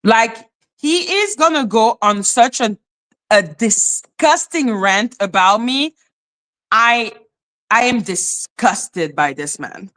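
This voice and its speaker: A woman delivers heated, cutting commentary in a fairly high voice. She alternates indignant outbursts with definitions read aloud. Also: lively intonation, slow, a bit bright, low-quality recording. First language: French. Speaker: commentary channel host.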